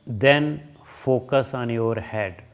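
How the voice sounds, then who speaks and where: A man with an Indian accent leading a guided relaxation as a yoga teacher, giving short instructions with long pauses between them. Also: medium pitch.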